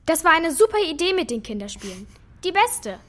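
A frank, cheeky eleven-year-old in a children's radio drama. Her high voice is very animated and expressive. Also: fairly quick. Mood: chatty, frank. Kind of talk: German children's radio play acting.

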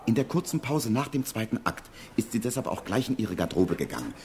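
An actor playing a harried, plain-spoken theatre stage manager in a German radio crime drama, slightly flustered and hesitant. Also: male voice, on the low side, sing-song, fast talker, darkish tone, a little hiss or hum. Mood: baffled.

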